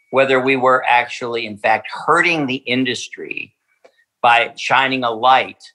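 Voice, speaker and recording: A man's voice: mid-range voice, pushed and bright, low-quality recording. A man from a winery speaking in a podcast interview, hesitant, with many fillers and restarts. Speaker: winery representative.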